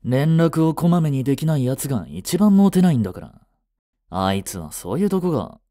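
Man acting mellow, brusque teenage schoolboy. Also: a little high, big pitch swings, normal speed, dry recording, studio quality. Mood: grumbling. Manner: blunt, teasing, rough casual speech. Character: brusque teenage schoolboy, gruffly caring childhood friend. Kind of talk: Japanese romantic situation voice drama.

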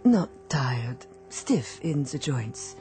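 A woman's voice: rumbling low, very animated, very slow, dark tone, full character voice. A woman playing a dutiful, placid robot cook in a radio drama, speaking in a formal, literal way. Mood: guarded, placid. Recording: music under the dialogue.

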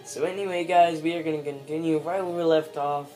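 A man with a congested, coughing voice hosting a casual let's play, speaking in a slow, apologetic way from a dim room at home. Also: on the high side, steady tone, dark tone, faint music behind, poor audio. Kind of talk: casual gameplay commentary.

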